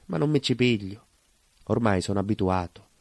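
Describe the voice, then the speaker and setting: Proud southern-blooded baker, lowish pitch, dry intimate sound, stage monologue, emphatic repetitions, deliberate pauses, plain-spoken and nostalgic. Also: male voice, lively intonation, no room sound. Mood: wry, resigned. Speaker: stage actor. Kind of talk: theatrical monologue, colloquial storytelling.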